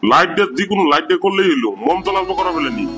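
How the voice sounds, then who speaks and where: A man's voice: sits high, expressive, rapid-fire, loud music behind the voice. A man voicing an exasperated, scolding village teacher. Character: exasperated middle-aged male village teacher.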